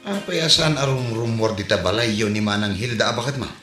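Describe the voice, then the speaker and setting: An actor playing a nosy, wry village neighbor with an Ilocano Filipino accent in a comedy drama. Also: male voice, lowish voice, a little fast, echoey room, loud music behind the voice, close to an everyday voice. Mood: wry, mocking.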